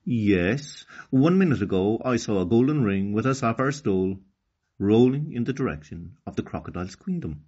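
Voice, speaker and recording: A friendly talking hippopotamus from a storybook, acted by a man, helpful and plain-spoken with an Irish accent. The voice is husky and lively, on slightly dull audio. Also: fairly low pitch, normal speed.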